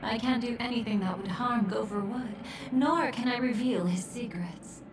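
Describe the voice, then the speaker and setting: An actress playing a sly, obsessive video game villainess with a breathy, teasing and softly menacing delivery. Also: female voice, fairly high pitch, normal speed, noisy background, natural voice. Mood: mock regretful, teasing. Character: taunting, obsessive, sly villainess, a memory manipulator. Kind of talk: theatrical video game voice acting. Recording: echoey, music underneath. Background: game music.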